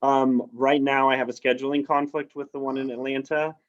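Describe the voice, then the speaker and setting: A man's voice: mid-range voice, level tone, brisk. A man from the United States, a guest in a casual interview, speaking in an upbeat, persuasive way over a video call. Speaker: interview guest, outdoor adventurer. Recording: slightly dull, lo-fi audio.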